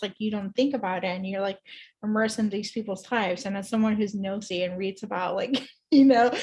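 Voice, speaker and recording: A woman's voice: mid-range voice, darkish tone. A woman hosting a casual interview show, speaking in an effusive, informal way. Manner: effusive, chuckles between phrases. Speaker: podcast host.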